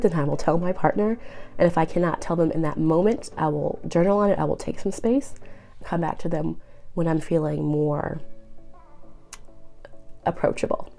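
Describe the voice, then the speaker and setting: Young woman, nasal and raspy from a cold, gravelly low voice, mellow tone, faint music behind. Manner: earnest, encouraging, addresses viewer as boo. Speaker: relationship advice vlogger. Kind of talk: direct-to-camera advice vlog.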